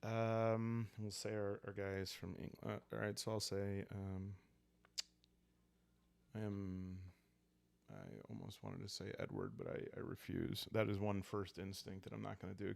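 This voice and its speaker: Male ASMR game host: low-pitched, gravelly, calm and musing, very dry close sound. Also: no echo. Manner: hesitant, musing, unhurried. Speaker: host of a solo roleplaying playthrough. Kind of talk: relaxed ASMR game narration, thinking aloud.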